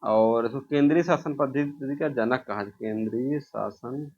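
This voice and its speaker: A man's voice: mid-range voice, measured pace, a little mellow, lo-fi sound. A man from India teaches an informal exam revision lesson, posing questions, answering them himself and repeating key answers.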